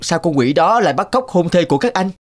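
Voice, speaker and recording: Earnest, higher-voiced young prince. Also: male voice, animated, fast. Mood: confident, courteous. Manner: earnest, heroic. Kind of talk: cartoon voice acting. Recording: music behind.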